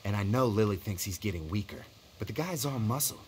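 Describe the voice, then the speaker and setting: A man's voice: lowish voice, expressive, a little fast, slight background noise, close to an everyday voice. A man with an American accent playing a frustrated, hungry, talkative survivor in a video game. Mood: grumbling, wry.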